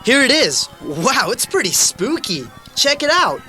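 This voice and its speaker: A woman's voice: high-pitched, sing-song, a little slow, bright, forceful tone, full character voice. A woman playing a brash, teasing, curious young boy in an audio drama. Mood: excited, curious. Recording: old cassette with faint music.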